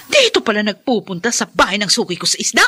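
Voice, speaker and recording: A woman's voice: mid-range voice, sing-song, fast talker, bright, forceful tone, slightly rough, soft background music, exaggerated voice. An actress playing a furious, betrayed wife and short-tempered mother in a Filipino radio drama, shrieking accusations. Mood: bitter, vengeful.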